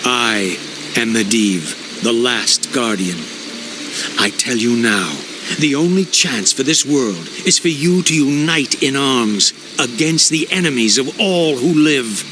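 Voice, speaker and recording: An actor playing a wise, remorseful male mage who speaks in a grave, measured way. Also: medium pitch, expressive, noisy background. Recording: game cutscene with loud score.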